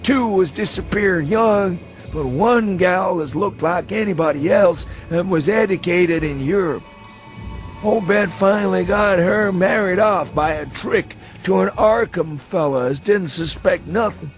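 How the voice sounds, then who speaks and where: An actor playing a frightened, rambling old drunkard with a folksy rural New England accent in a radio horror reading. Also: male voice, unhurried, faint music behind. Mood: maudlin, confiding. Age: older. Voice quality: shrill when agitated. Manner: rambling folksy speech, badgering and insistent.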